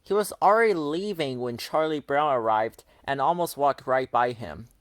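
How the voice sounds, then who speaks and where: A man's voice: medium pitch, expressive, normal speed, no echo. A man narrating a children's picture book aloud as a storyteller.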